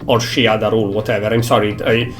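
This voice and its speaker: A young man with an Italian accent, a native Italian speaker, thinking aloud in English with halting phrasing as he streams a game. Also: on the low side, level tone, soft background music. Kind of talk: casual gameplay commentary.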